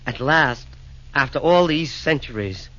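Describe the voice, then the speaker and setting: An actor playing a meek, repentant former tyrant emperor with hesitant, humble rhymes, in 1940s radio drama style. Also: male voice, mid-range voice, animated, unhurried, fairly bright tone. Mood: wondering, happy. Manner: hesitant, humble, rhyming theatrical delivery. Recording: dull 1940s radio broadcast recording.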